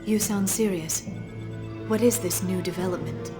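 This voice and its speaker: A woman's voice: lowish voice, normal speed, natural voice. A woman voicing a polite, serious female officer in a game cutscene, formal and careful in her speech. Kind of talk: video game cutscene voice acting. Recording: roomy echo with background music.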